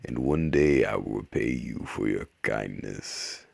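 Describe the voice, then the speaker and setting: Man voicing an earnest storybook mouse, deep and gravelly. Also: unhurried, a little mellow, dry recording, full character voice. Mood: pleading. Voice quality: raspy. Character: small, earnest mouse in a fable.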